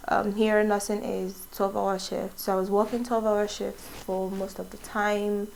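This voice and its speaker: A woman's voice: mid-range voice, flat delivery, moderate pace, vocal fry, a little mellow, slight background noise, low-quality recording. Chatty Nigerian woman laughing as she talks. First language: not English. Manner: chatty, laughs often, rambling asides. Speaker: vlogger. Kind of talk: casual get-to-know-me vlog.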